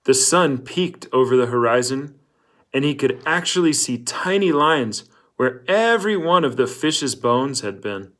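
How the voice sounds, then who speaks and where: A man's voice: mid-range voice, expressive, measured pace, fairly bright tone. A man narrating a children's novel in a read-aloud storytelling style.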